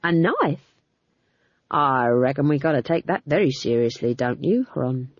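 A woman voicing a leering, coarse male dungeon guard who speaks in rough dialect. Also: on the high side, expressive, moderate pace, no room sound, dull audio. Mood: leering, menacing. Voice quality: drawling, menacing, rough dialect.

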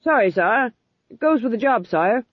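A husky, dutiful sergeant from a comic storybook, acted out by a narrator, blunt yet deferential. His delivery jumps about in pitch, on a dry, slightly muffled recording. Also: animated, moderate pace, no echo, natural voice. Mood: dutiful, eager. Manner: blunt, deferential, eager to please. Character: loud, over-eager, dutiful army sergeant, man.

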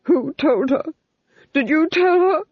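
A woman's voice: high-pitched, slow, dry recording, lo-fi sound, pitched above the performer's own voice. A woman voicing a nervous, tyrannical male Duke, agitated and strained, in an audiobook. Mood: panicked fury. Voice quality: strained, husky. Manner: agitated, hissing and screaming. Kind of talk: audiobook character voice.

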